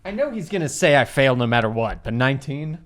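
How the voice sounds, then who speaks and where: A man's voice: mid-range voice, big pitch swings, a little fast. A man chatting and joking as a player in a tabletop game, playful and rambling. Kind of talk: casual joking game banter.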